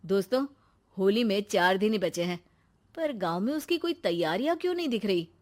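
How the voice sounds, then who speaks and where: A woman's voice: mid-range voice, expressive, fairly quick, natural voice. A woman voicing a curious, bold young man with questioning, emphatic delivery in cartoon story dialogue.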